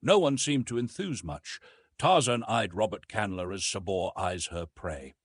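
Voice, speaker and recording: Male audiobook narrator, mid-pitched, dramatic storytelling with wide swings, close dry recording. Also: animated, a little slow, slightly rough, no room sound. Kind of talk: dramatic scripted narration of an adventure novel.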